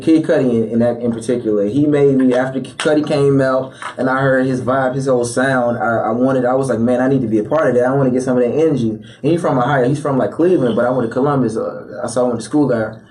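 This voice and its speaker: A man's voice: mid-range voice, fast. Young rapper guy in a podcast studio, easygoing chatty, mic kinda far off. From Atlanta area, born in Chicago. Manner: relaxed, loose, lots of "like" fillers. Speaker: rapper guest. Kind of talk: casual interview chat. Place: small podcast studio with foam panels. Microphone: studio mic on boom arm.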